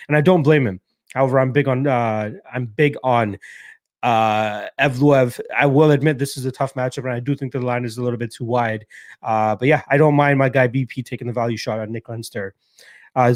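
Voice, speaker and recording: A man's voice: medium pitch, no room sound, studio quality. A young man hosting a live stream, chatting casually, reading out comments and talking with loose, profane, filler-heavy speech. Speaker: podcast host reading live chat.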